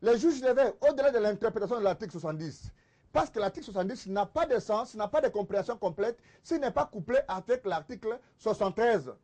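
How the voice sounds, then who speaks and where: A man's voice: high voice, expressive, fast talker, darkish tone. A man with a Congolese accent arguing insistently in a political TV debate, repeating phrases for emphasis. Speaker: debate panelist, political commentator.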